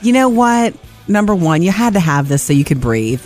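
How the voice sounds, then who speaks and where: A woman's voice: lowish voice, sing-song, a little mellow, soft background music. A woman co-hosting a show, chatting casually and teasing the host. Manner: teasing, quick to finish others' sentences. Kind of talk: casual chat.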